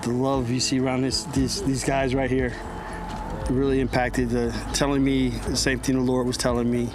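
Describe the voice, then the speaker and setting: A man's voice: medium pitch, completely flat delivery, moderate pace, music in the background. A man giving a heartfelt, simply phrased answer in an outdoor vineyard interview. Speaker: volunteer.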